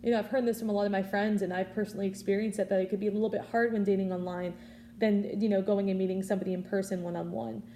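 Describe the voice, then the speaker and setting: A woman's voice: medium pitch, fairly even intonation, racing, warm tone, a little hiss or hum. A woman in her early twenties giving an informal prepared speech, offering earnest advice in a conversational way. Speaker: student public speaker.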